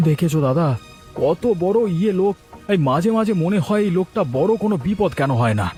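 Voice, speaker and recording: A man's voice: high-pitched, lively intonation, fairly quick, mellow, soft background music. Man acting a plaintive, doubtful village fisherman. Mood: resentful. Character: doubtful, grumbling younger village fisherman companion. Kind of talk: animated village story dialogue.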